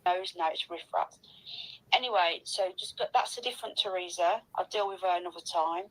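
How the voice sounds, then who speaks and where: A woman's voice: lowish voice, brisk, fairly bright tone, poor audio. A woman ranting bluntly and aggressively with heavy swearing, in a replayed phone voice message. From Northern England.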